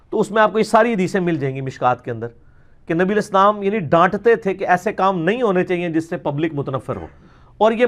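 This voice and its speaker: A man with a Pakistani Punjabi accent giving an informal religious lecture, arguing in colloquial language with rhetorical questions and some English words mixed in. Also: a little high.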